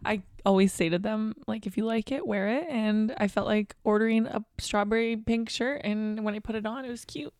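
A young adult woman co-hosting a podcast, her voice slightly raspy from partly losing it, chatting in a bubbly, self-deprecating way. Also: medium pitch, fairly even intonation, fairly quick, hardly any pauses, darkish tone, no echo, studio quality. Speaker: podcast co-host. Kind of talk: casual chat.

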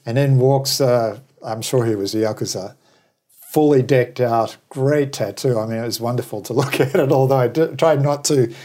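A man's voice: mid-range voice, normal speed, warm tone. A man from New Zealand who later lived in Australia, talking as an interview guest in a discursive, opinionated way with wry anecdotes. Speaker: interview guest, travel business operator. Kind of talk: conversational interview commentary.

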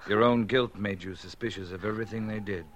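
An actor playing a calm, grave rescuer in a 1940s radio thriller, measured and quietly firm. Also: male voice, lowish voice, lightly acted. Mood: matter-of-fact. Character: calm, grave rescuer who is secretly the hunted man. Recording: noisy vintage 1940s radio recording.

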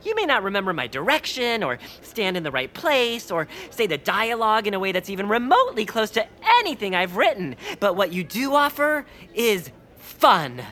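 An earnest amateur stage director in a comic audio drama. The delivery is hesitant and self-doubting, with a lively, expressive pitch. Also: female voice, normal speed, hardly any pauses. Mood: apologetic, earnest. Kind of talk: scripted comedic audio drama acting.

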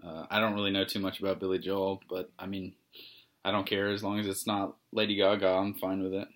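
A young man from the United States co-hosts a sports podcast in an easygoing, even tone. His voice is very low, and his delivery stays relaxed and unhurried. He often trails into filler sounds. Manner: laid-back, calls himself monotone. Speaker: podcast co-host. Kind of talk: casual sports podcast chat.

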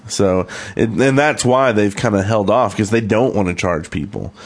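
A man's voice: on the low side, normal speed. A man speaking casually and with opinions as a co-host on a gaming podcast.

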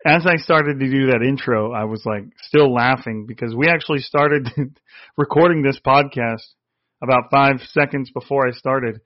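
A man's voice: medium pitch, moderate pace, dry recording, dull audio. A man hosting a casual sports podcast, with a nasal voice and a rambling way of talking. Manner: rambling, restarts often. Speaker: podcast host.

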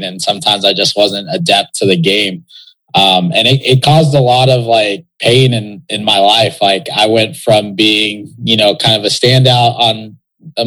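A man's voice: on the low side, a bit bright, poor audio. A young man from Texas, a pro soccer player talking as an interview guest in a loose, reflective way, with lots of fillers. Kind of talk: casual interview talk.

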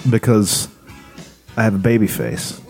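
A man co-hosting a casual podcast chat, loud and joking, full of swearing and riffs. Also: on the low side, measured pace, creaky, soft background music. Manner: loud, joking, profane, riffing. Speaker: podcast co-host.

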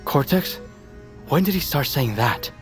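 A man's voice: mid-range voice, expressive, a little slow, music in the background, lightly acted. A man playing a troubled, questioning medical researcher in a naturalistic audio drama. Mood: troubled, puzzled. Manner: intent, questioning.

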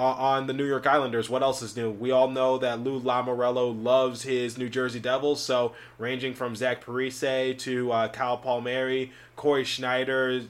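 A man with a sore, slightly scratchy throat, hosting a sports podcast in a rambling, chatty way with many fillers. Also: mid-range voice. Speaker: podcast host. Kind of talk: casual sports podcast talk.

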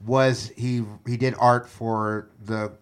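A man's voice: mid-range voice, little pitch movement, slow, clean tone. Eager man riffing with "like" fillers. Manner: enthusiastic, filler-heavy, says "like" a lot. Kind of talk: casual podcast chat.

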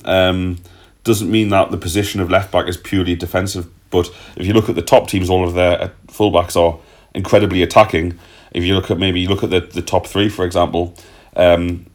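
A man hosting a casual football discussion in a blunt, sweary, joking way. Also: sits low. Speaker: podcast host.

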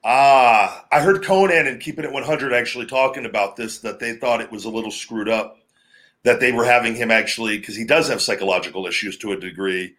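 A man with a heavy, booming voice, talking shop on a casual podcast. He rambles freely, circling back on his thoughts, at a mid pitch for a man. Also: a little fast, a bit bright. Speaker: podcast host, former pro wrestler.

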